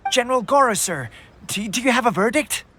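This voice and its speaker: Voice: mid-range voice, expressive, a little fast, bright, forceful tone, slight background noise. An actor voicing an eager, deferential soldier addressing his general in a video game. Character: loyal resistance soldier, eager.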